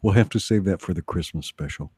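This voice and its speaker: A man's voice: deep voice, fast talker, darkish tone, slight background noise. A man co-hosting a casual livestream, teasing and joking dryly as he chats. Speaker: livestream co-host. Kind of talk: casual bantering chat.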